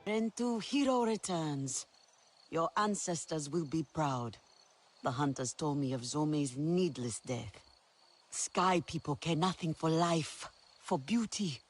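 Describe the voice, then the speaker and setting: A woman playing an earnest, headstrong young alien warrior in a video game, with a husky voice. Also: low-pitched, slow. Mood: indignant. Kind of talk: dramatic video game acting.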